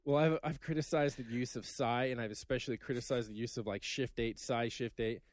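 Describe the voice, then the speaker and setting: A man's voice: mid-range voice, fairly even intonation, brisk, dry recording, studio quality. Rambling man ranting on a podcast. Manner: rambling, ranting, many fillers. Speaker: podcast co-host. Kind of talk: casual chat.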